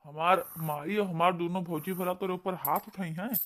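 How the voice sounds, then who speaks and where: A man's voice: sits high, fairly even intonation, normal speed, noisy background, lightly acted. A man voicing an incredulous village husband in a cartoon, questioning his wife with an Awadhi accent. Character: doubting husband on a phone call, defending his family. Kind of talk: cartoon dialogue.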